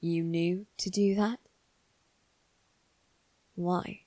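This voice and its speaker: A woman voicing a guarded, haughty young noble boy in a scripted ASMR roleplay, with measured, formal phrasing. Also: on the low side, fairly even intonation, mellow, dry recording. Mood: thoughtful, subdued. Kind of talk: ASMR roleplay, one-sided scripted dialogue.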